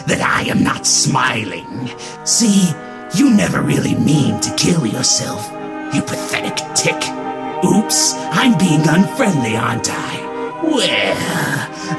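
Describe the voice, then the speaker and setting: A man voicing a gloomy, scornful doughboy figurine in a comic dub, pompous and theatrical in his contempt. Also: mid-range voice. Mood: mock dismay, scorn. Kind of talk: dramatic comic-book dub. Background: loud music under the voice.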